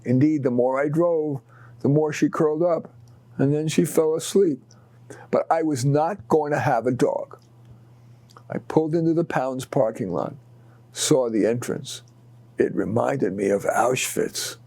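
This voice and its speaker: A man's voice: on the high side, measured pace, dark tone, no room sound. Man reading short stories aloud, dry sound. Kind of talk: expressive read-aloud storytelling.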